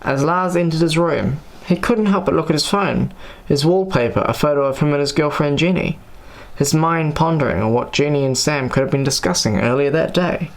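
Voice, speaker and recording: A man's voice: fairly high pitch, normal speed. A man reading scripted audiobook narration as the story's narrator.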